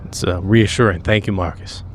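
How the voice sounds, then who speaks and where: A man's voice: fairly low pitch, fairly even intonation, moderate pace, slight background noise, lightly acted. A man playing a blunt, practical manhunter agent in a tabletop roleplay, plain-spoken and dry. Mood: dry, questioning. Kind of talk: improvised tabletop roleplay dialogue.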